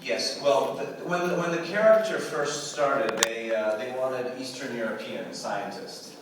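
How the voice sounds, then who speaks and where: A man's voice: medium pitch, unhurried, distant mic. A man answering audience questions on a convention panel, rambling, repeating words and laughing often. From Canada. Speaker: convention panel guest actor. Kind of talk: convention Q&A talk. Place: large convention hall with audience. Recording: echoey hall recorded from afar, crowd noise.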